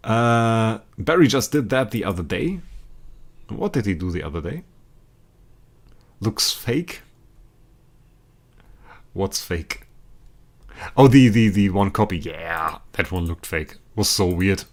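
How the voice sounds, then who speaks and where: A young German man chatting casually with viewers while streaming a game, rambling and repeating himself, laughing often and breaking into sudden excited outbursts. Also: fairly low pitch, slow, long pauses. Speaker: livestreaming gamer.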